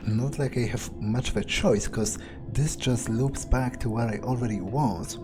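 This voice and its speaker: A man voices an irritable, foul-mouthed male vampire in a video game. He muses aloud in a hesitant, mid-pitched voice, commenting on his surroundings in character. Music plays clearly behind him. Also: measured pace, lightly acted. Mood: musing, wary. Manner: hesitant, musing aloud, swearing. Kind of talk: in-character roleplay commentary over gameplay.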